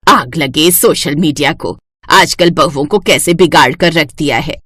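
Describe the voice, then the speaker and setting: A woman voicing a bossy, sharp-tongued middle-aged mother-in-law who scolds and demands, in a cartoon story. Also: sits low, measured pace, slightly rough, dry recording, clean studio sound, pitched below the performer's own voice, exaggerated voice. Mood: disapproving.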